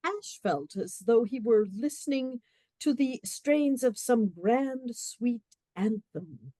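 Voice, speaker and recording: A woman narrating a comic period radio play with measured, wry storytelling. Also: fairly high pitch, lively intonation, warm tone, no echo.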